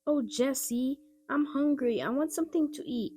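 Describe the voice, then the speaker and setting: Lady doing a demanding little kid boy in a storybook, high and whiny-pleading, music behind. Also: measured pace, dark tone. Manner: eager, demanding. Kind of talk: children's storybook character voice.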